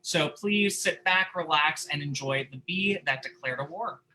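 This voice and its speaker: A man's voice: a little high, a little slow, sharp tone, slight background noise, poor audio. A man hosting and introducing an online event to its audience. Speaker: host.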